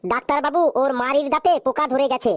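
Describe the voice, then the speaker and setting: A woman voicing a naive, cheeky cartoon patient in an animated comedy, speaking with innocent earnestness. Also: sits high, fast, natural voice. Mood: earnest. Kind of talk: animated comedy sketch dialogue.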